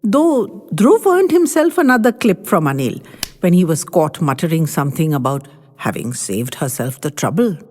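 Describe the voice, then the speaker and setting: A woman narrating a family story in an expressive storybook manner. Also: deep voice, normal speed, long reverb tail.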